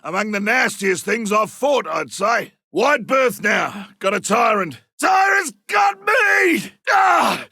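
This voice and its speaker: An actor playing a rugged, cocky monster hunter in a video game, a man with a rough gravelly Australian voice and a blunt, forceful way of calling out. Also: very high-pitched, no echo, clean studio sound, heavily acted. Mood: panicked struggle. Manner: colloquial, blunt, forceful delivery. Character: gruff male monster hunter, rugged, cocky, seasoned trapper. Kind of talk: video game combat barks and callouts.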